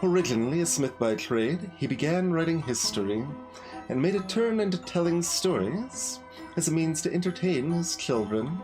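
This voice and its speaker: A man voicing a solemn, reverent male priest from a video game, in measured, earnest storytelling. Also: fairly high pitch, animated, natural voice, rough recording. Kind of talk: video game dialogue read aloud. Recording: music behind the voice.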